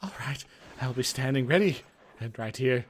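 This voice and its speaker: A man's voice: mid-range voice, lively intonation, moderate pace, a little hiss or hum. A man narrating a video game playthrough, mixing casual, hesitant, wry asides with dramatic reading of the text.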